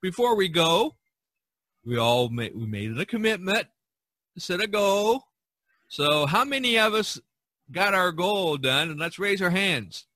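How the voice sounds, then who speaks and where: A man's voice: sits high, expressive, measured pace, sharp tone, no echo. A man hosting a casual podcast chat among artist friends, rambling a little and repeating his phrases. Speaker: podcast host.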